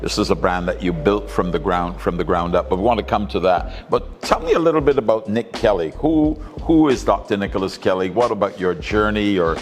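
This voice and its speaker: Effusive talk show host with hall echo. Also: male voice, fairly low pitch, a little fast, few pauses. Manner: effusive, repeats words. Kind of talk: interview hosting.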